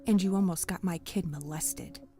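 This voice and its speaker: A woman's voice: lowish voice, normal speed, mellow, soft background music, lightly acted. A woman playing a tough, fed-up protective mother in an audio story, blunt and confrontational. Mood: bitter accusation.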